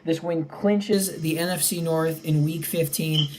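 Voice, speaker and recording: A young man around eighteen hosting a casual sports podcast, his voice slightly raspy, rattling off game stats. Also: on the high side, fairly even intonation, measured pace, a little mellow. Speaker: podcast host.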